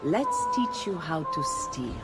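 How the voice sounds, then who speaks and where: An actress playing a poised, worldly Italian madam and mentor in a video game, speaking with an Italian accent. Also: female voice, deep voice, wildly swooping pitch, slow, exaggerated voice. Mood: guiding. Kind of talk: video game cutscene acting. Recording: background music under the voice.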